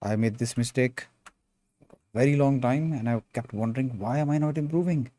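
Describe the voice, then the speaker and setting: A man's voice: mid-range voice, normal speed, mellow. A young man with an Indian accent chatting casually on a sculpting livestream, reading chat aloud and calling viewers "bro.". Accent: Indian English. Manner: laid-back, calls viewers bro, reads chat aloud. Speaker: sculpting streamer.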